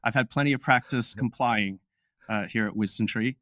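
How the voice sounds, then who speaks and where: A man's voice: fairly low pitch, sharp tone, no room sound, studio quality. A man hosting a podcast in a casual, upbeat way, with joking asides. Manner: upbeat, jokey, quick asides. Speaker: podcast host. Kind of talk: casual podcast chat with read disclaimer.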